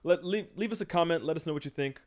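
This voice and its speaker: A man's voice: on the high side, quick pace. A man in his thirties hosting a podcast, chatting casually and rambling with fillers. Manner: relaxed, rambling, trails off with fillers. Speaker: podcast host. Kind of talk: casual chat.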